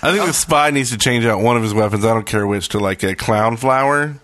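A man's voice: mid-range voice, a little fast, a bit bright, no room sound. A man co-hosting a podcast in casual, jokey and teasing banter. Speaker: podcast host.

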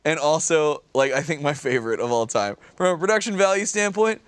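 Male podcast panelist, fairly high voice, bone-dry sound, informal slangy storyteller who swears freely. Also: animated, fairly quick, smooth, no room sound. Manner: slangy, sweary, heavy use of "like". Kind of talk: casual, informal storytelling chat.

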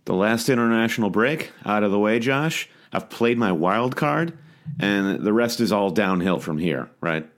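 A very low-voiced man co-hosts a casual podcast. He talks in a measured, matter-of-fact way, as if he is thinking the points through as he goes. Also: expressive. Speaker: podcast co-host.

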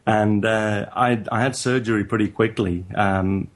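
A man with a northern English accent, talking in a halting, reflective way as a guest in a casual interview. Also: fairly low pitch, fairly even intonation. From northern England. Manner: halting, reflective, frequent restarts. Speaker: guest photographer.

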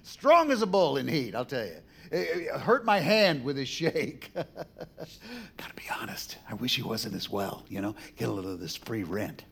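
An actor playing a boozy, folksy, fast-talking charmer of a Washington insider in an audio drama, full of rambling, jokey bluster. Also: male voice, medium pitch, big pitch swings, vocal fry, slightly rough, full character voice. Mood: bluffing, jovial.

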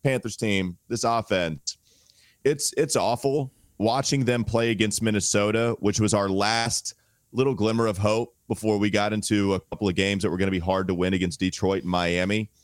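A man co-hosting a casual sports podcast, under the weather and keeping his strained voice at low volume. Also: steady tone, moderate pace, no echo, studio quality.

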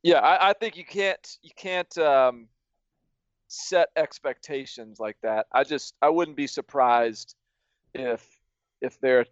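A man co-hosting a podcast, speaking haltingly and stumbling over his words. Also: mid-range voice, clear, resonant tone, no echo. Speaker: podcast co-host. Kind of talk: casual podcast discussion. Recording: dull lo-fi sound.

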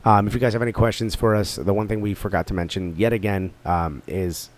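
A man's voice: fairly low pitch, fairly even intonation. A man with a North Jersey accent co-hosting a podcast, joking and chatting casually. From New Jersey area. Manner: fast-talking, joking, plugs the show. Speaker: podcast co-host. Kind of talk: casual chat.

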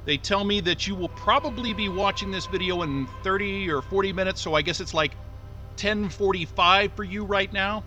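A man's voice: high voice, normal speed, pushed and bright, soft background music. An actor playing a chatty, flippant man rambling casually through a recorded video message to himself in an audio drama. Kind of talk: naturalistic audio drama acting.

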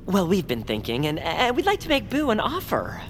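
A woman's voice: fairly low pitch, wildly swooping pitch, normal speed, slight background noise. A woman voicing an earnest, polite, sensitive little male creature in a family audio drama, hesitant and precise. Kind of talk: family audio fiction character acting.

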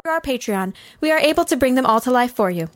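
A woman's voice: high-pitched, expressive, fast. A woman host reading show announcements who keeps breaking into giggles. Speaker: podcast host reading the outro. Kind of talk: reading announcements, blooper takes.

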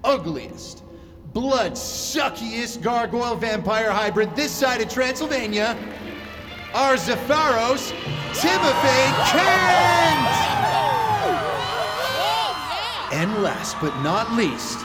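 Flippant male stage emcee, high animated voice, slow showman delivery, glib hype, audio drama. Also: loud music behind the voice, over a noisy crowd, exaggerated voice. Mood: hyped. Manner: announcer hype, glib and jokey. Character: showy, flippant male fan-convention panel host.

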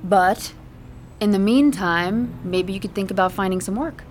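A woman's voice: medium pitch, a little slow, slight background noise, close to an everyday voice. A woman playing a practical, skeptical young girlfriend in an audio drama, with a dry, sarcastic, exasperated delivery. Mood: cautious. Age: young adult. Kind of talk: naturalistic audio drama dialogue.

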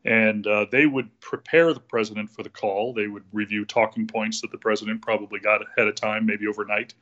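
A man's voice: fairly low pitch, steady tone, brisk, a bit bright, dull audio. An American man, a former official, explaining a procedure step by step in an interview, with frequent hesitations.

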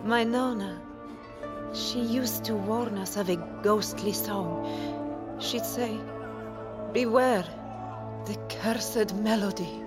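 An actress playing a gentle, frightened wartime nurse in an audio drama. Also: female voice, mid-range voice, slow, long pauses, close to an everyday voice. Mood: fearful awe. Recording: loud music over the voice.

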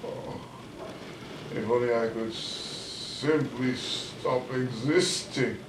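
An actor playing a bitter, middle-aged former doctor, drunk and halting with guilt and self-pity, in an audio drama. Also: male voice, medium pitch, fairly even intonation. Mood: guilt-ridden, despairing. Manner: halting, self-pitying, swearing, drunken rambling. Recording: roomy, distant, noisy audio drama recording.

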